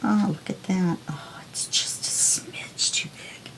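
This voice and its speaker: A woman hosting a crafting tutorial, chatting calmly and unhurriedly as she works. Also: fairly low pitch, in a whisper. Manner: calm, unhurried, talks while working. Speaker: crafting video host. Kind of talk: casual crafting tutorial chat.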